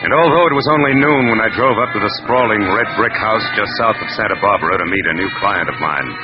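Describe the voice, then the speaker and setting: An actor playing a hardboiled, world-weary private detective in old American radio noir style, with a wry, clipped delivery. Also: male voice, lowish voice, quick pace, lightly acted. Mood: brooding. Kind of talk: 1940s-50s American radio noir acting, narration. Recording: dull vintage radio recording, music behind.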